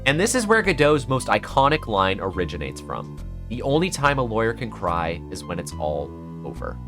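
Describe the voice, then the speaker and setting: A man's voice: lowish voice, sing-song, normal speed, music in the background. A man delivering scripted, analytical video essay narration in an earnest manner with dramatic emphasis.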